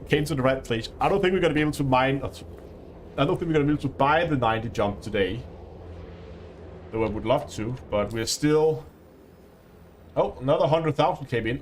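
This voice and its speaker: A man's voice: medium pitch, slight background noise. A man with a Danish accent hosting a casual gaming livestream, chatting with viewers and laughing often. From Denmark. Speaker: livestream host.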